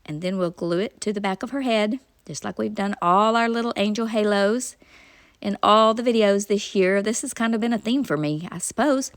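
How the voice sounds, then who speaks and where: A woman narrates her craft project in a friendly, homey way, explaining each step as she goes. Her voice sits at a middle pitch and is a little stuffy and nasal from a cold. It is recorded close up in a dry, dead room. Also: normal speed, dry recording. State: nasal from a cold, sore throat. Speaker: craft channel host. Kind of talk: casual craft tutorial voice-over.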